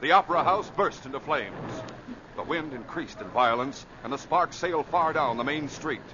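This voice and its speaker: A man's voice: fairly high pitch, lively intonation, muffled recording. Dramatic male radio narrator, noisy vintage recording. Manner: dramatic, measured storytelling. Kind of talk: dramatic scripted old-time radio narration.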